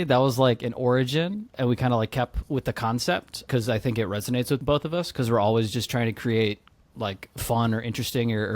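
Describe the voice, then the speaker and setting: A man's voice: medium pitch, no room sound. A man co-hosting a podcast, speaking in a laid-back, casual way full of "like" and "kinda". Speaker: podcast co-host and founder. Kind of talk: casual chat.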